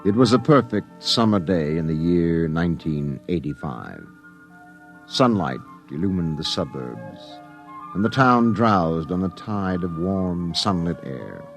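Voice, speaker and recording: A man playing the measured narrator of a 1950s radio drama, setting a scene in lyrical storybook fashion. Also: sits low, very animated, creaky, soft background music, full character voice. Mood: calm, lyrical.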